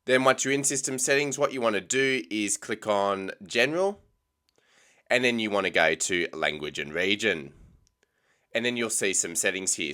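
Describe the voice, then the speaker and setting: A young Australian man with an Australian accent and a low voice that sounds bright and crisp. He talks through a screen tutorial at an easy, casual pace, dropping frequent ums. The sound is close and clean, as from a desk mic. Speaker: tech tutorial host. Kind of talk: screen-recorded software tutorial.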